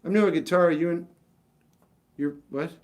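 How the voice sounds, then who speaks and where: A man's voice: on the high side, normal speed, a little mellow. Rambling fifty-something man livestreaming. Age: 50s. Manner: rambling, self-deprecating, frequent restarts. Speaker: livestream host. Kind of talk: casual livestream chat answering viewers.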